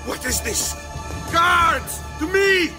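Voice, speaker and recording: An actor playing an arrogant, bloodthirsty Templar villain in a video game, theatrical and sneering. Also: male voice, very high voice, lively intonation, slow pace, music in the background, heavily acted. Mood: alarmed. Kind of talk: video game cutscene acting.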